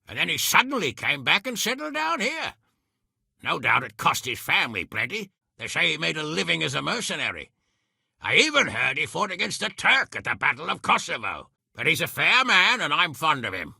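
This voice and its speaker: An actor playing a gruff, quick-tempered and shrewd middle-aged medieval lord in a video game, with a gravelly, husky voice and a commanding, hearty manner. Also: male voice, a little high, very animated, pushed and bright, no echo, clean studio sound, heavily acted. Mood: gossipy, amused. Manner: commanding, colourfully cursing, hearty. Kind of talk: video game character acting.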